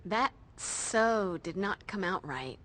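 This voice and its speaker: An actress playing a bossy, sarcastic teenage demon fighter with an American accent in a video game, blunt and slangy. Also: female voice, mid-range voice, lively intonation, slow pace, a bit bright, a little hiss or hum.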